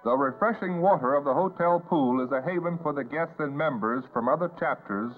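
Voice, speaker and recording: Male American newsreel narrator, 1950s; polished announcer delivery, higher-set voice, thin old soundtrack with music. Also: fairly quick. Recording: tinny band-limited 1950s newsreel soundtrack.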